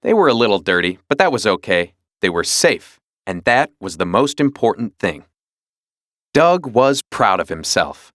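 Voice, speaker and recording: Male storybook narrator for young readers: animated, dramatic, mid-pitched, dry studio-style sound. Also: moderate pace, no echo. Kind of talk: scripted children's read-along narration.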